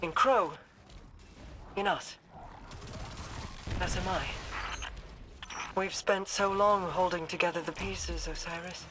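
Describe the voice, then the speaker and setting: A woman's voice: lowish voice, fairly even intonation, slow, long pauses, noisy background. A woman playing a regal, enigmatic immortal queen in a video game, composed and formal in her delivery. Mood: pensive.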